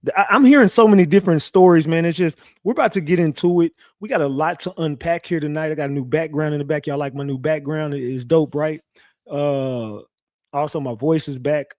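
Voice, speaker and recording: A young man with a slightly raspy, recovering voice, hosting a casual live stream and talking loosely to his chat with slang and lots of repeats. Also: on the high side, brisk, a little mellow, no echo, clean studio sound. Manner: loose, repetitive, talks straight to chat with slang. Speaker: live-stream true crime host. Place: home streaming setup.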